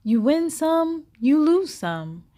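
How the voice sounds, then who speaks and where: A woman voicing a wise old cheetah in a children's storybook, giving solemn advice in a hushed, whispery voice. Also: high-pitched, sing-song, slow, heavily acted. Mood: calm, wise. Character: wise old male cheetah in a dream, gentle. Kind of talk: children's storybook read-aloud.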